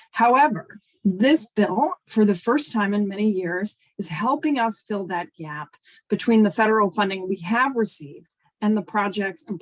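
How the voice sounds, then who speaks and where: A woman's voice: mid-range voice, rough recording. A woman official delivering prepared remarks over a video call. She sounds a little sniffly from a cold. Her tone is eager and upbeat, with frequent uh and um fillers. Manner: earnest, upbeat, fills pauses with uh and um. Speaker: transportation agency official. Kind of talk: prepared remarks at a virtual town hall.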